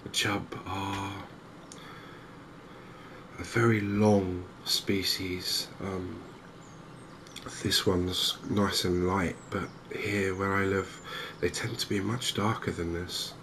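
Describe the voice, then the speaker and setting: A soft-spoken man with an English accent giving unhurried, hesitant ASMR commentary over a video game. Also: low voice, little pitch movement, noisy background. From England. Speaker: gaming video host.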